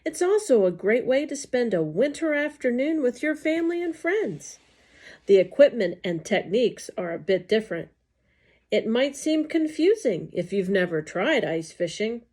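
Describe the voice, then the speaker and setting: A woman reading scripted educational narration aloud in a deliberate, enunciated way. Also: fairly high pitch, very animated, a little mellow.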